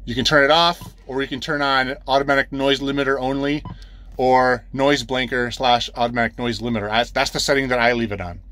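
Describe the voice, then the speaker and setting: Man, bright clear-cut tone, mid pitch, casual trucker tutorial, plain-spoken, step-by-step instructions. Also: fairly even intonation, a little fast, no room sound. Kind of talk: casual hands-on explainer. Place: inside a truck cab.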